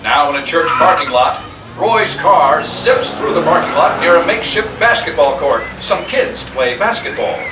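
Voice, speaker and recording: A man's voice: on the high side, lively intonation, normal speed. A man reading stage directions as narrator at a live screenplay table read. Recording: distant mic in noisy, echoey room.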